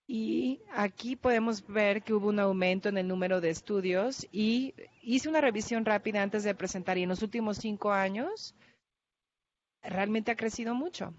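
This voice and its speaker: Mujer con acento brasileño, conferencia en una sala grande, voz de tono medio, grabación apagada y de baja fidelidad. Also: female voice, medium pitch, moderate pace. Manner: careful, hesitant, with restarts and fillers. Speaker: researcher presenting at a workshop. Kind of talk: conference talk. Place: large conference hall. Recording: dull lo-fi hall recording.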